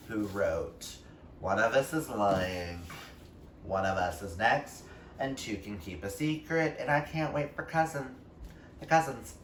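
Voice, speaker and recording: A man chatting casually about books as a vlogger, gushing and emphatic with dramatic pauses. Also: medium pitch. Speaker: book reviewer vlogger, reading challenge co-host. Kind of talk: casual book vlog chat. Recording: roomy, distant mic, faint noise.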